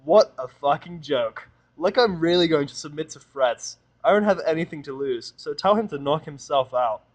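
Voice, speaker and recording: A man's voice: fairly high pitch, expressive, normal speed, voice pushed up, natural voice. A man voicing a hostile, sarcastic human who snaps and rants angrily in a fan audio drama. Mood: irritated. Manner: snappish, ranting, swearing. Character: bitter, hostile, sarcastic human male misanthrope.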